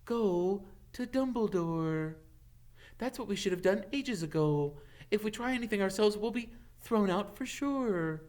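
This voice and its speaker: A man's voice: high-pitched, expressive, measured pace, a little mellow, voice pushed up. A man voicing an earnest, sensible schoolgirl with a firm, matter-of-fact manner. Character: earnest, sensible schoolgirl who takes charge.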